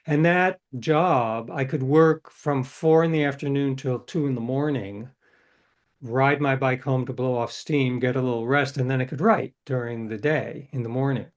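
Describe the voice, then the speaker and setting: A man's voice: mid-range voice, normal speed. An older man chats as an interview guest, telling long rambling stories. He corrects himself as he goes and acts out the lines of people in his anecdotes. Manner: rambling, self-correcting, acts out quoted dialogue. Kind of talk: casual storytelling.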